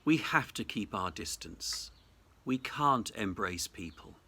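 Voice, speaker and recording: A man, a cathedral dean, giving a reflective Easter message in measured, deliberate phrases. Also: lowish voice, animated, pushed and bright, husky.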